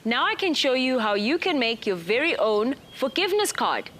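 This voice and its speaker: A woman's voice: sits high, sing-song, moderate pace, a bit bright, slight background noise. A woman presenting a children's TV show, giving cheerful, patient step-by-step craft instructions. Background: music.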